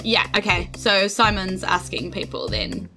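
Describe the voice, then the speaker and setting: A woman's voice: medium pitch, expressive, a little slow, bright, forceful tone, faint music behind. A woman with a New Zealand accent chatting casually as a player in a tabletop game.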